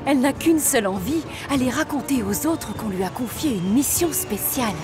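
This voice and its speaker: A woman's voice: high-pitched, expressive, moderate pace. A woman playing the narrator of a children's train cartoon, telling the story expressively from a script. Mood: storytelling. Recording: music behind the voice.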